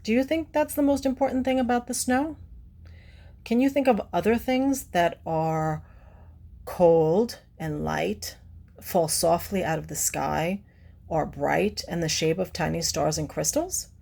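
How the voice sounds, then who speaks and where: A woman's voice: on the low side, measured pace, creaky, a little mellow. A woman reading a picture book aloud to children, adding simple teacherly explanations and putting questions to the listener. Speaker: children's read-aloud presenter.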